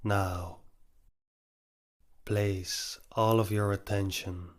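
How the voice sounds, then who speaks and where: A man leading a guided meditation in short, measured, instructive phrases with long pauses between them. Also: on the low side, little pitch movement.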